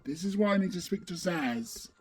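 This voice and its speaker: A woman playing a stern, determined masked vigilante in a superhero audio drama, with a low, husky voice and terse, serious manner. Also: animated, moderate pace, slight background noise, natural voice.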